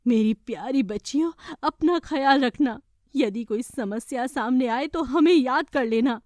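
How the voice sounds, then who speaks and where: Woman playing a high-voiced, commanding fairy queen. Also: measured pace, a little mellow, dry recording, clean studio sound. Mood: tender. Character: brave, commanding fairy queen, protective mother. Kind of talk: children's cartoon story acting.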